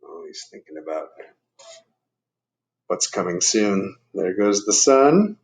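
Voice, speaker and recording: A man's voice: low voice, very animated, slow, low-quality recording. A man reading a picture book aloud to children, explaining things and putting questions to the listeners in chatty asides. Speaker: storybook reader.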